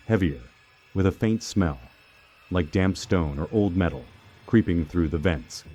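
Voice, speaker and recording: A man narrating a scripted first-person horror story in a measured, dramatic, suspenseful manner. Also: low-pitched, warm tone, slightly rough. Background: background music.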